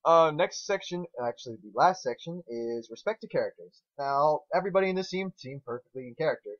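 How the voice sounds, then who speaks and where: A man's voice: on the high side, expressive, fairly quick. Male co-host, casual and opinionated reviewer, rambling and foul-mouthed, on a dull lo-fi recording. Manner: rambling, crude swearing. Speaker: co-host reviewing fan fiction.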